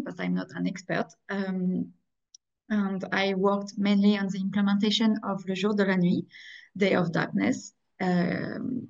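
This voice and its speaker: A young woman with a strong French accent presents a webinar over a video call, speaking carefully and a little haltingly, in dull lo-fi call audio. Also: medium pitch, gravelly, a little mellow. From France. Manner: careful, slightly halting, apologetic.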